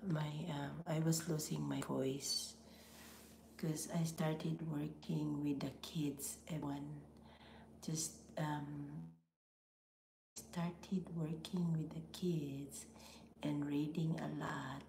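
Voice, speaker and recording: A middle-aged woman with a Filipino accent, hoarse from laryngitis, hosting a casual live stream from home with slow, half-whispered greetings. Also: low-pitched, level tone, a little mellow, echoey room, slight background noise, poor audio. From Philippines. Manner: breathy, half-whispered, slow with frequent pauses and throat clearing. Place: small home office room indoors.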